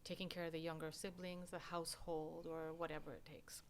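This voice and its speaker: A middle-aged woman with a somewhat low voice speaks earnestly and in detail, and she grows animated when she quotes other people. Faint room noise sits behind her. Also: a little fast. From born in England, raised in Canada. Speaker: guest, photographer and nonprofit founder. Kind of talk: conversational interview.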